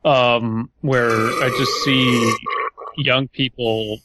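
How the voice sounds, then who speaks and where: A man's voice: medium pitch, flat delivery, extremely slow, heavy background noise. An American man from California co-hosting a podcast in casual, sweary, ranting chat. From California, USA. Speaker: podcast host.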